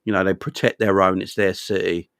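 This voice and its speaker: A man hosting a casual football chat, rambling, animated and a bit sweary. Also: low-pitched, brisk, gravelly, no echo, studio quality. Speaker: football channel host.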